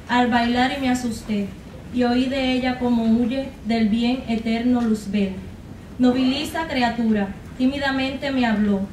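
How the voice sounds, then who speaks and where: A young woman recites poetry dramatically in a high voice. The room rings around her, and she sounds distant from the microphone, with faint noise underneath. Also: darkish tone, roomy sound. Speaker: poetry contest reader. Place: college classroom, at a podium.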